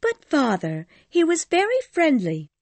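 A man voicing an eager, innocent, headstrong teenage girl in storybook dialogue, bright and insistent. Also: extremely high pitch, wildly swooping pitch, unhurried, vocal fry, no echo, pitched above the performer's own voice, heavily acted. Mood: protesting.

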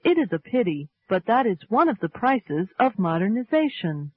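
A woman presenting a scripted English lesson, reading slowly with careful enunciation for learners. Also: mid-range voice, dry recording. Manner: slow, clearly enunciated, lively intonation. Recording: tinny narrow-band radio sound.

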